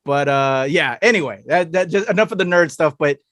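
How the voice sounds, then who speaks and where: A man hosting a podcast interview over a video call, with hype-man energy and loose, chatty shout-outs. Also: fairly high pitch, a little fast, no room sound, studio quality. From United States. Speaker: podcast host. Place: home room full of toys and collectibles.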